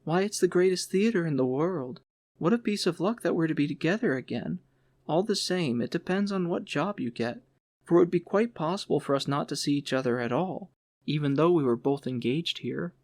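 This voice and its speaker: Man voicing an eager, higher-pitched young woman. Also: expressive, brisk, no room sound. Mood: excited, earnest. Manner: bright, chatty, enthusiastic. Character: eager, friendly young woman, a theater trumpeter angel. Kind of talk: audiobook character voice.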